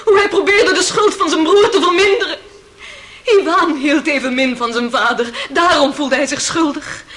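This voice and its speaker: A woman's voice: extremely high pitch, fairly bright tone. A woman playing a proud, wounded young woman testifying bitterly and emotionally in a radio drama. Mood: anguished. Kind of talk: theatrical radio drama acting. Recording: old radio play with faint noise.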